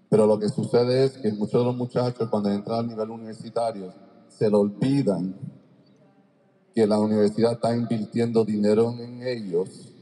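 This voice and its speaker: A man's voice: on the low side, mellow. A man, a basketball coach from Ohio, lecturing at a seminar over a microphone in a large indoor arena. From Cleveland, Ohio, United States. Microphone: handheld microphone through a PA, far camera. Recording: long echo of a large gymnasium.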